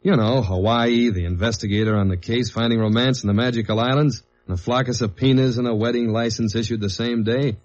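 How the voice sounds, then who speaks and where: An actor playing a dogged American government investigator in a 1950s radio detective drama, dry and understated. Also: male voice, medium pitch, fairly even intonation, brisk, few pauses, darkish tone, natural voice. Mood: uneasy, placating. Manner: dry, understated, hard-boiled. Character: tall, dogged government investigator narrating his case journal. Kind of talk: 1950s radio detective drama, first-person narration and dialogue. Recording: muffled old radio recording with music.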